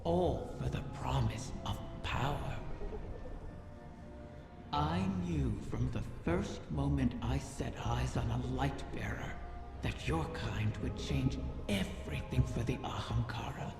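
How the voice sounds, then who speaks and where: A man voicing an ancient, cunning wish-granting dragon in a video game, slow and savoring, with seductive menace and a monstrous effect on the voice. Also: medium pitch, gravelly. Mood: tempting. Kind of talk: video game character dialogue. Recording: cavernous reverb, loud music behind.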